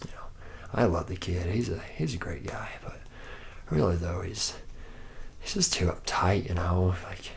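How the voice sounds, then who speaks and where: A man's voice: low-pitched, animated, normal speed, gravelly, slight background noise. A man playing a laid-back, boozy womanizing factory worker in an ASMR roleplay, talking in a casual, slangy ramble. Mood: mildly grumbling.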